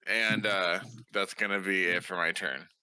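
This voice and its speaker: A man's voice: deep voice, fairly even intonation, unhurried, pushed and bright, clear, resonant tone, dry recording, rough recording. A man playing a tabletop game, talking casually as he calls his moves.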